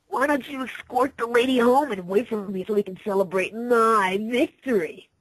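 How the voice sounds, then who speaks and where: Girl doing a show-off lady pony dj for a story, raspy n high, drags out her taunts. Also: no echo, poor audio. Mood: triumphant taunting. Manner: taunting, drawn-out, exuberant calls. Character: cocky, teasing young female pony DJ, gleeful show-off.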